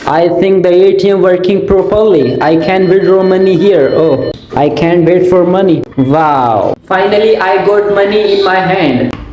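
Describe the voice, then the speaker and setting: Slow-talking Indian man, vlogging. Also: high-pitched, darkish tone, rough recording. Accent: Indian English. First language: Hindi. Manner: simple plain English, many pauses and fillers. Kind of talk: casual vlog narration. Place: outdoors in a busy industrial area and market.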